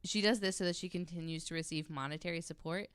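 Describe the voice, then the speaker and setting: A woman's voice: lowish voice, fairly even intonation, fast talker, clear, resonant tone, no echo. A woman podcast host telling a story in a casual, conversational way, with wry, informal asides.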